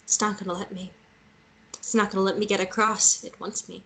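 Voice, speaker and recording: A woman's voice: medium pitch, flat delivery, a little fast, fairly bright tone, close to an everyday voice, low-quality recording. Woman acting torn, emotional young romantic heroine. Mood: fearful, insistent. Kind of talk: dramatic table read acting.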